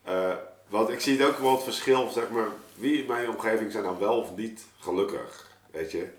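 Casting: man, lower-pitched voice, informal slangy podcast guest who meanders as he reflects, roomy echo on the recording. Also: moderate pace. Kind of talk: casual reflective group conversation. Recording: echoey room.

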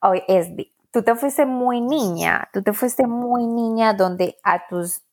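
A woman's voice: fairly high pitch, slow, darkish tone. A woman co-hosting a podcast, chatting casually and cheering on her partner. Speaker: podcast co-host.

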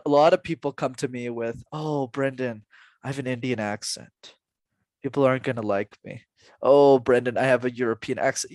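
A man's voice: medium pitch, no echo, clean studio sound. A man in his twenties, a public speaking coach answering interview questions in an earnest, emphatic way, full of rhetorical questions. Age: 20s.

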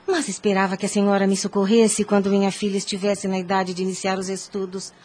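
A woman's voice: mid-range voice, flat delivery, quick pace, darkish tone, natural voice. An actress playing an anguished, devout mother in a radio soap opera, speaking in a formal, pleading and emphatic way. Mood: respectful, troubled. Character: anguished, devout mother pleading to protect her young daughter.

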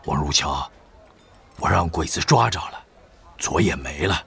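An actor playing a weary, stoic wartime official who has survived torture, in a Mandarin audio drama. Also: male voice, a bass voice, lively intonation, a little slow, vocal fry, a bit bright, breathy edge, full character voice. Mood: grim, bitter.

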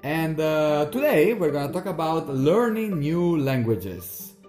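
A man's voice: fairly high pitch, big pitch swings, unhurried, darkish tone, soft background music. A young Italian man co-hosting a podcast, chatting casually with a friendly, hesitant manner and many fillers. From northeast Italy. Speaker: podcast co-host. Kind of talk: casual chat. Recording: roomy echo.

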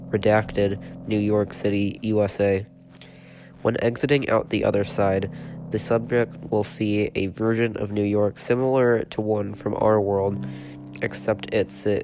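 A man hosting a podcast, stuffy from a cold, reading a written horror report in a steady, matter-of-fact way with a few hesitations. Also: fairly low pitch. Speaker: podcast host and narrator.

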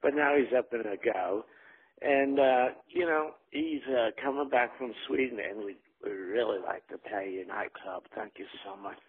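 A man leaving an informal voicemail over the telephone, making a halting sales pitch. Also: slightly rough. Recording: muffled narrow telephone voicemail line.

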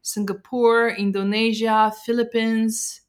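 A woman with a French accent, a guest on a casual podcast chat, often pausing and searching for words. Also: fairly high pitch, little pitch movement, smooth. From French and Algerian.

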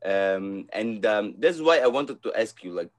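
A man's voice: fairly low pitch, expressive, a little slow. A man from Egypt hosting a podcast interview, speaking hesitantly with many fillers and restarts. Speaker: podcast host. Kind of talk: casual interview.

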